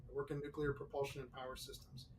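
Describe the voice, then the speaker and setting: A man's voice: mid-range voice, level tone, quick pace. A middle-aged man joining an interview as a guest, explaining things eagerly with some fillers. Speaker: guest, author's husband. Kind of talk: casual interview answers. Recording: distant mic, faint noise.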